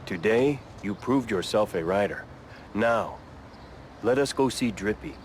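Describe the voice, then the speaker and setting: A man's voice: lowish voice, animated, a little slow, slight background noise. An actor playing a solemn, mystical male biker guru in a game, with grave, ceremonious phrasing.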